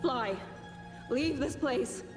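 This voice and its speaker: Vision figure, fantasy game: slow, solemn ghostly woman, swimming in long reverb. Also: high voice. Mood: solemn warning. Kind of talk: scripted fantasy game dialogue. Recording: long echoing reverb under music.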